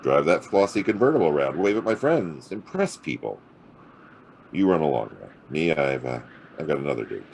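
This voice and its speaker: A very deep, clipped American private eye, sardonic and hard-boiled, with a swooping delivery. It is noir audio drama, with noisy ambience behind the voice. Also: male voice, sing-song, normal speed, vocal fry, a little mellow, exaggerated voice. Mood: wry, casual. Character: hard-boiled, wisecracking male private detective, narrating his case.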